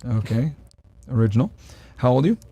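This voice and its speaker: Fast-talking man firing quick questions. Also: mid-range voice, animated, dark tone, breathy edge. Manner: quick, blunt questions with frequent okays. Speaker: podcast host. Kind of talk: casual interview questioning.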